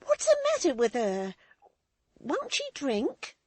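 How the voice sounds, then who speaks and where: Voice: huge pitch swings, slow pace, no room sound, heavily acted. Reader doing a jumpy young servant lad. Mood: jittery. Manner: high, nervous, hushed. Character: jumpy young male servant, nervous and evasive. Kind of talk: audiobook character voice.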